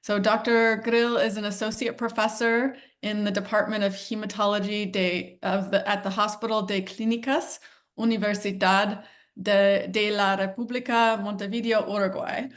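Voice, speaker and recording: A woman hosting for a nonprofit, welcoming a webinar audience with measured remarks, pausing with fillers and correcting herself. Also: mid-range voice, flat delivery. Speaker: nonprofit host introducing webinar.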